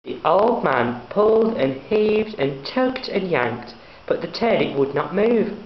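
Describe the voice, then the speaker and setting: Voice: mid-range voice, very animated, slow. A young adult student reading a children's story aloud. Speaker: student reader. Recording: echoey, muffled room, faint background noise.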